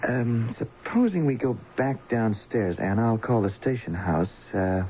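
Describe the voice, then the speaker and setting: An actor playing a practical, hesitant policeman in a radio drama, asking questions in a halting, low-key way. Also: expressive, warm tone, lightly acted. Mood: investigating. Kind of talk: 1970s radio drama acting. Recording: muffled vintage radio, faint noise.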